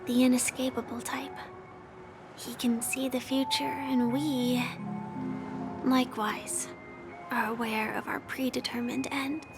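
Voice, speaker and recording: An actress playing a gentle, wistful young woman in a video game, soft, breathy and quietly resigned. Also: sits high, level tone, measured pace, long pauses. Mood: earnest, resigned. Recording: game music under the voice.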